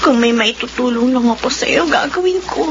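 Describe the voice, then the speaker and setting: A woman's voice: fairly high pitch, normal speed, highs cut off, noisy background, natural voice. An actress playing a remorseful, frightened young woman in a radio horror drama, pleading melodramatically. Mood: sorrowful.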